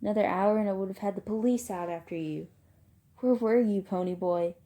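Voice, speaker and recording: A woman voicing an angry, overbearing young man who shouts and scolds as guardian of his younger brother. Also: sits high, fast. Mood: furious, scolding.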